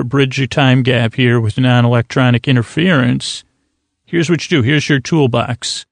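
A man with a creaky, gravelly voice hosting a sleep podcast, rambling haltingly and often restarting or abandoning his phrases. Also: medium pitch, dry recording. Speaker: podcast host. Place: dry podcast studio.

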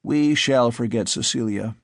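A man voicing a weary, brooding older father who speaks in a soft, tired, probing way. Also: mid-range voice, a little slow, gravelly, dry recording, studio quality. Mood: somber.

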